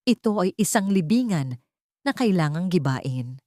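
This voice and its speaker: A woman's voice: on the low side, very animated, unhurried, darkish tone, dry recording, clean studio sound. A woman narrating a dramatic scripted story in a solemn, suspenseful way.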